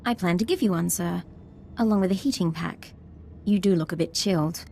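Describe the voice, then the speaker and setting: An actress playing a brisk, composed young female military ensign with dry wit in a video game. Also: on the low side, vocal fry, mellow, a little hiss or hum. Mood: polite, dryly wry.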